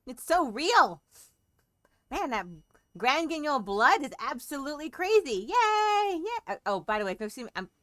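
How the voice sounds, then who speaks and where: Casting: woman commentator on a game playthrough, fairly high, very animated, wry and casual. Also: unhurried, long pauses, no room sound, studio quality. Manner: playful, wry. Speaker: game streamer.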